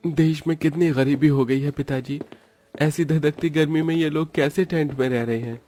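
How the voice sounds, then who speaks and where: A man's voice: on the high side, steady tone, normal speed, mellow, soft background music, close to an everyday voice. A man playing a polite, worried young working son in a cartoon story. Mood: concerned.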